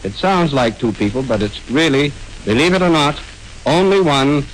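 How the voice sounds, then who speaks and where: A man's voice: on the high side, moderate pace, poor audio. 1930s male radio announcer explaining. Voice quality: explanatory, emphatic. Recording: old radio recording with faint noise.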